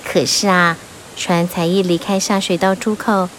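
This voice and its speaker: A woman narrating a children's fairy tale in an animated, expressive way, rising and falling with the drama. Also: on the low side, moderate pace, a little hiss or hum, low-quality recording. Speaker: storyteller.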